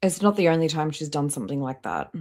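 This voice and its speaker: A woman's voice: sits low, very animated, quick pace, a little mellow. A woman hosting a live stream with casual, blunt, critical reaction commentary and some swearing.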